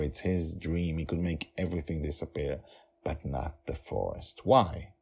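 Deep, husky male storyteller. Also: mellow. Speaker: podcast host and storyteller. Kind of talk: narrating his own serialized fantasy story.

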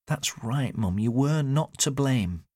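A man's voice: medium pitch, animated, takes it slowly, mellow, dry recording, clean studio sound, voice pushed up. A man voicing an earnest, caring grown daughter with a direct, pleading manner in an audiobook. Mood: reassuring. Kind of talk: audiobook character dialogue.

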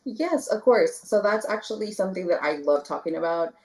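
A woman from the Rio Grande Valley in Texas, a guest talking casually in an interview, soft-spoken with many fillers and restarts. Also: on the low side, animated, a little fast. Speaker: guest, spiritual candle maker.

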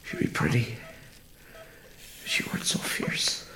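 An actor playing a tormented, bitter injured fire officer in a radio drama, with a halting, breathy, gravelly delivery. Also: male voice, roomy sound, in a whisper, close to an everyday voice, poor audio. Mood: wary, dreading. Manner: halting, brooding interior monologue.

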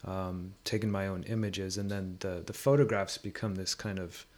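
A man with a fairly low voice talks through ideas in a conversational way. He is reflective and often halting, pausing to search for the right words. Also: fairly even intonation, darkish tone. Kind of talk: thoughtful conversational discussion.

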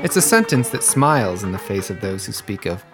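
A man's voice: fairly low pitch, sing-song, a little fast, over music. Man narrating a history podcast. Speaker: podcast host. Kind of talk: scripted narration.

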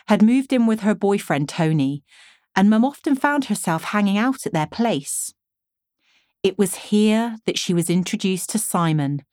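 A woman's voice: lowish voice, darkish tone, no room sound, clean studio sound. A woman in her forties reading her own memoir as a scripted audiobook narration. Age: 40s.

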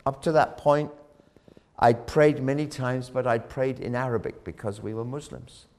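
A man preaching a sermon, telling a story dramatically, rising loud on key words and dropping low again. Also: moderate pace. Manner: dramatic, swells loud on key phrases then drops low.

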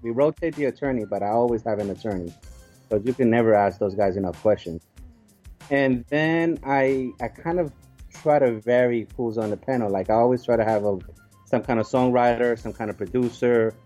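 A man with a mellow, relaxed voice answers as an interview guest. He explains things casually and somewhat haltingly, building lists of examples. Soft music sits faintly underneath. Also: mid-range voice.

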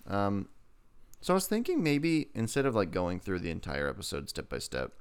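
Deep-voiced man with a gravelly edge. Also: wildly swooping pitch, normal speed. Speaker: podcast co-host. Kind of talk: casual TV episode review chat.

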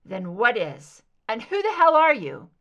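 A woman's voice: a little high, wildly swooping pitch, a little slow, muffled recording, exaggerated voice. A hard-edged, defiant female federal agent acted out by a woman narrator fires back with blunt sarcasm. Her voice swings animatedly as she challenges her captor, in the style of a thriller audiobook. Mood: angry, demanding.

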